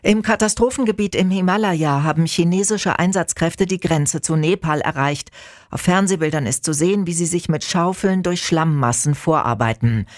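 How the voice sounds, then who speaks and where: A man reading the morning radio news in a measured, formal manner. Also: a little high, dry recording, clean studio sound. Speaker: news anchor. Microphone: studio microphone.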